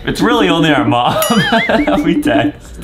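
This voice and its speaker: A young man with a slightly high voice co-hosts an excited reaction video. He talks playfully to the audience with lively swings in pitch, and very loud music runs behind him. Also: takes it slowly. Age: young adult. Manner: playful, giggly, addresses viewers. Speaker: YouTube reaction co-host.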